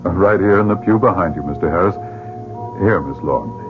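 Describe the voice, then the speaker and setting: A man's voice: deep voice, fairly quick, close to an everyday voice. An actor playing a suave, sinister male club director who speaks in a silky, mocking way, in a radio drama. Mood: menacing. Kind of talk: 1970s radio drama acting. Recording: tinny old radio broadcast with music.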